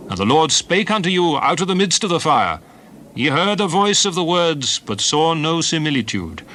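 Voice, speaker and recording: A man's voice: fairly high pitch, pushed and bright, a little hiss or hum. A man playing a solemn prophet warning his people in a grave, emphatic, oratorical dramatized Bible reading. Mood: solemn exhortation. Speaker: dramatized scripture reader. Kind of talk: dramatized King James Bible oration.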